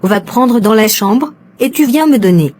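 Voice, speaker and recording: An actress playing a cautious, money-minded secret mistress in an animated soap drama, evasive and coaxing. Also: female voice, on the high side, measured pace.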